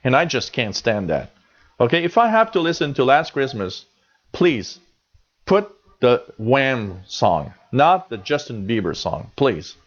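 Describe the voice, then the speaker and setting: A man's voice: mid-range voice, very animated, a little slow. A chatty Canadian man in his forties, his voice sitting in a middle range. He rambles along with plenty of ums and restarts as he hosts a live show. The audio is a bit dull and lo-fi. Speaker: radio show host reading chat. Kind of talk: casual live chat.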